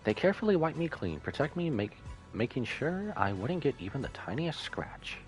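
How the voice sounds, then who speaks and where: A man's voice: medium pitch, big pitch swings, moderate pace, pitched above the performer's own voice, close to an everyday voice. Man reading as earnest young anime woman. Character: earnest, grateful, self-doubting young woman from anime game. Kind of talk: reading game dialogue aloud. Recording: game music behind voice.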